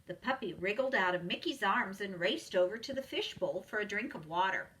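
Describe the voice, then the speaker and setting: A woman reading a children's story aloud as a preschool teacher would, with expressive narration. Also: mid-range voice, brisk, far from the mic, low-quality recording.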